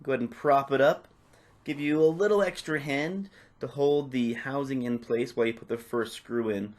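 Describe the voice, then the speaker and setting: A man narrating a step-by-step tutorial off the cuff, in a casual, instructional way with softened phrasing. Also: medium pitch, moderate pace. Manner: casual, instructional, hedging with "kinda" and "a little bit". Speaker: repair tutorial presenter.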